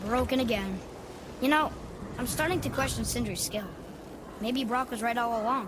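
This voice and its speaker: High-voiced, headstrong young boy. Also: normal speed, very noisy. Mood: scornful, irritated. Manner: blunt, youthful, insistent. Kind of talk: dramatic video game acting.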